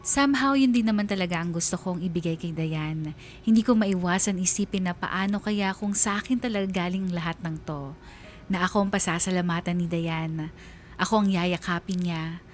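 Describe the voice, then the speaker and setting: An actress playing a wistful young woman who narrates a confession in a measured, confiding radio drama style. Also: fairly low pitch, soft background music, lightly acted. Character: wistful young woman confessing secret love for best friend's girlfriend. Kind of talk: first-person radio drama letter narration.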